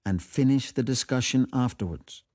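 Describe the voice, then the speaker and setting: A man voicing a polite, peacemaking young niece in a storybook, gently coaxing. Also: medium pitch, normal speed, no echo, clean studio sound, faint music behind, voice pushed up, lightly acted. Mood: pacifying.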